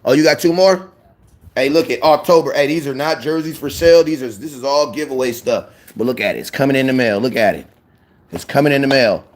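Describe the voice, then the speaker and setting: A young man hosting a casual fan show, talking in slang and repeating himself when excited. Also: medium pitch, a little fast. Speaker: sports fan show host. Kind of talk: casual live-stream chat.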